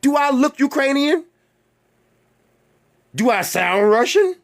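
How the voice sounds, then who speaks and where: A man rants straight to his viewers, hammering each point with rhetorical questions and swearing. His voice sits high and swoops widely up and down as he repeats phrases for emphasis. It sounds like a solo host talking his mind on his own channel. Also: very animated, slow pace, gravelly. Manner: heated and emphatic. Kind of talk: ranting monologue to viewers.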